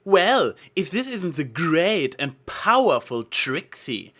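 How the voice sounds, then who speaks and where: A man's voice: a little high, big pitch swings, takes it slowly, sharp tone, voice pushed up, exaggerated voice. A man voicing a brash, boastful young female flying pony in a story reading. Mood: teasing. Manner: cocky, teasing.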